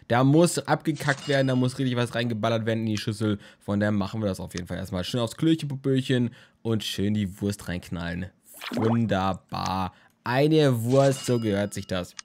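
Sleepy young male let's-player, rambling and jokey, casual game commentary at a home desk mic. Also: mid-range voice. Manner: rambling, jokey, crude humor. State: tired, just woke from a nap. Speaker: let's play host.

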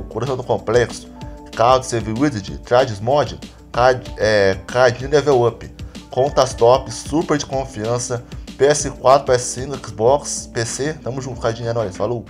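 A man giving a slangy promotional shout-out, listing items quickly. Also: mid-range voice, soft background music.

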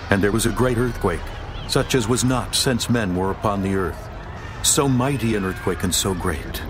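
A man narrating scripture in a slow, solemn, stately reading over music. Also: sits low.

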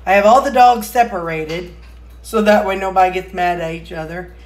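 A woman's voice: fairly low pitch, normal speed. A woman vlogging as a home cook, chatting casually in a rambling, folksy way while she demonstrates in her kitchen. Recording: roomy, echoey kitchen sound.

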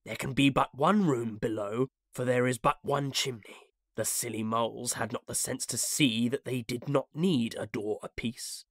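A narrator voicing a villainous, scheming pirate captain who talks slowly through his teeth in a storybook reading. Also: medium pitch, a bit bright, dry recording, clean studio sound.

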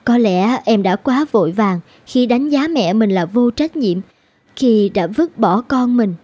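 A woman's voice: a little high, dark tone, no echo. A woman playing an earnest, emotive young nurse and mother, tender and sorrowful, in a cartoon drama. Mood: reflective, sad. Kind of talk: dramatic cartoon dubbing in Vietnamese.

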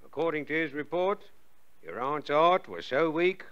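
Commanding inspector, lively pitch. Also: male voice, moderate pace, clean tone. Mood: authoritative. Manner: official, commanding. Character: brisk, authoritative police inspector. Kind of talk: radio drama acting. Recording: slightly dull, lo-fi audio.

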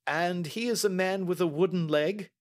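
A man voicing a brisk, astute Victorian gentleman detective with crisp, courteous questioning, in audiobook style. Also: sits high, voice pushed up, lightly acted. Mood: assured, brisk. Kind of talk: audiobook character acting.

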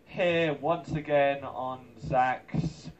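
A man making casual announcements as a guest streamer, speaking in a halting, deliberate way. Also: medium pitch, fairly even intonation. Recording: dull lo-fi remote voice audio.

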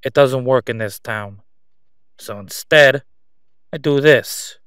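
A man's voice: medium pitch, a bit bright, dry recording, clean studio sound. Man playing a sly, sarcastic crime boss. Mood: exasperated, forceful. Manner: sarcastic, smooth-talking, drawn-out condescending delivery. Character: slick middle-aged male crime boss, mocking, sly, self-assured. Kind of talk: roleplay audio drama monologue.

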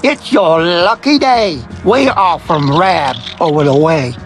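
An actor playing a gruff, cocky frontier militiaman in a video game, talking in folksy, salty slang. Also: high voice, slow, soft background music. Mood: hearty, blunt.

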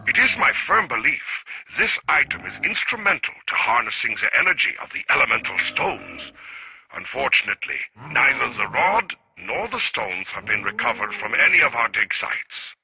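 An actor playing a grave, obsessed scientist who records formal, deliberate research logs for a video game. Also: male voice, mid-range voice, gravelly, sharp tone, heavily acted, rough recording. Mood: resolute. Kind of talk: scripted audio-log monologue.

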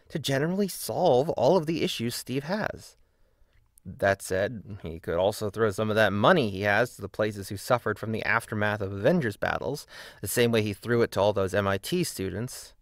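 A man's voice: lowish voice, expressive, moderate pace, dry recording, studio quality. A man delivering scripted video-essay narration with casual asides, in an opinionated, emphatic and wry manner.

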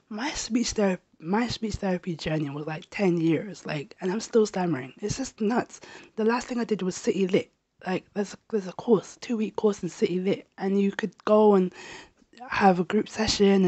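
A woman in her thirties, talking to camera as a travel vlogger. She speaks casually, stammers with repeats and restarts, and sounds a bit raspy from getting over a sore throat. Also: medium pitch, a little mellow, no echo. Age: 30s. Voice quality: slightly raspy. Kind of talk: casual personal talk to camera. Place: small bedroom, indoors. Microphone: handheld lapel mic held near the mouth.

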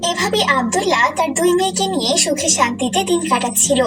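A woman's voice: high-pitched, lively intonation, quick pace, distant mic, music in the background. A woman reading a scripted moral story for children as narrator.

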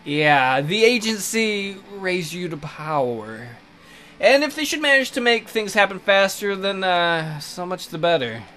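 A man's voice: high-pitched, sing-song, measured pace, sharp tone, soft background music, exaggerated voice. A man playing a flustered, evasive private tutor in a visual novel, hesitant and placating.

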